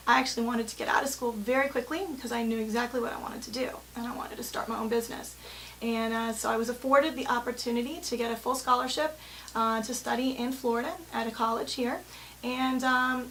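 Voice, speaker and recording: A woman in her thirties speaks in a fairly high voice, telling her own story in an earnest, articulate way. She sounds like a teacher presenting a personal profile. A faint hiss sits behind her voice. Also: fairly bright tone, rough recording. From born in London, grew up in the Bahamas and England. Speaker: music teacher and company president. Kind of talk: spoken personal profile to camera.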